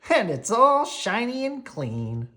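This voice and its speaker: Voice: mid-range voice, huge pitch swings, takes it slowly, sharp tone, voice pushed up, heavily acted. A storyteller voicing a sweet, eager little puppy boy from a storybook, exclaiming wide-eyed. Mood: excited.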